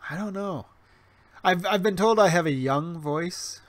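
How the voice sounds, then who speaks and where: Man, forties, slightly high voice, rambling livestream chat, lots of restarts and ums, wry. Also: unhurried, no room sound. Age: 40s. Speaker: livestream host. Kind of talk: casual livestream chat reading chat. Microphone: webcam stream mic.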